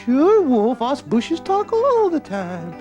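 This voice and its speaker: A man voicing a tricky, boastful male rabbit in a storybook tale, with a high, sing-song squealing voice. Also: huge pitch swings, slow, a little mellow, exaggerated voice. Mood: sly teasing. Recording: guitar playing behind.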